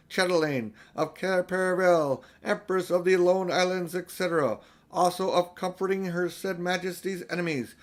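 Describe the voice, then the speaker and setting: Royal proclamation reader, acted by a man: pompous, declaiming, pitched up and loud, storybook. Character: formal royal proclamation being read out by a boy.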